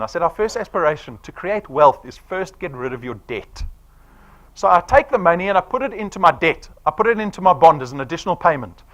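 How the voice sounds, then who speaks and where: A man in his forties giving a persuasive sales presentation to a live audience, often checking in with "right?". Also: sits high. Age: 40s. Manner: animated, persuasive, quizzing the room with "right?".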